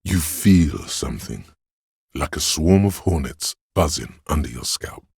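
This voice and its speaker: A man voicing a grim, wry inner voice of pain in a video game, narrating to the listener in a husky, deliberate, ominous way. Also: extremely low pitch, expressive, darkish tone, dry recording, studio quality, heavily acted. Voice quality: gravelly, husky. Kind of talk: scripted second-person game narration.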